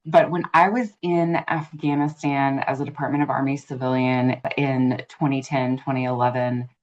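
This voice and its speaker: A woman in her thirties answering as an interview guest, earnest, fluent and measured. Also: extremely low pitch, steady tone. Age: 30s. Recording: muffled lo-fi audio.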